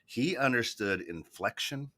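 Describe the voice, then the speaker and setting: Bright-voiced joking male co-host. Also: mid-range voice, animated, a little slow. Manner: joking, laughs often, trails off. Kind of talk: casual chat.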